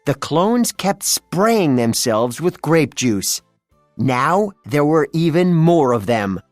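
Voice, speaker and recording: A narrator reading a children's read-along storybook in an expressive storytelling manner. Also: medium pitch, slow, no room sound, studio quality, faint music behind.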